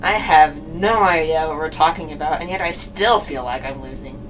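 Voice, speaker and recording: A woman's voice: low voice, moderate pace, natural voice. A woman playing a hapless, bewildered young male defense attorney in a puppet show, hesitant and easily flustered. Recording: distant microphone, noisy room.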